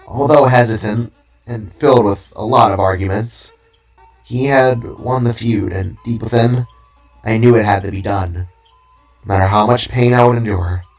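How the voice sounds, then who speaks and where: A man performs a tiny magical cube from an audio story, talkative but weary. It speaks haltingly and evasively, weighed down by sorrow. Music plays underneath. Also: on the low side, recorded from a distance, close to an everyday voice, low-quality recording. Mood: regretful. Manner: talkative, hesitant, fumbling for words.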